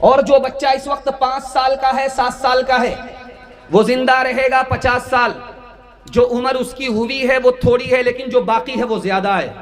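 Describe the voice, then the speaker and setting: Very high-pitched male preacher, echoing. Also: steady tone, moderate pace. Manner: declamatory, melodic chanting of verses and plain storytelling. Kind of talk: religious sermon to a gathering, with anecdotes and sung poetry. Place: large religious gathering with a loudspeaker system. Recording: echoing public-address sound, faint music.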